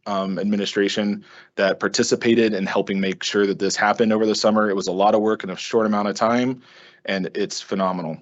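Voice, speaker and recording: A man's voice: lowish voice, dull audio. A man chairing a school board meeting, giving earnest, appreciative opening remarks with frequent ums.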